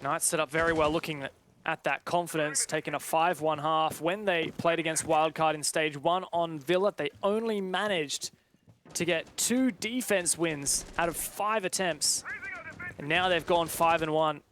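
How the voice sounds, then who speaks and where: A man doing live play-by-play esports commentary with a dramatic delivery that builds excitement around the action. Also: on the high side, moderate pace, a little hiss or hum.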